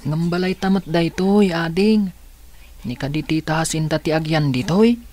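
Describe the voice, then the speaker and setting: Actress as resigned orphan sibling; low, muffled. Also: female voice, moderate pace, darkish tone. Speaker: radio drama actor. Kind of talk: radio drama acting.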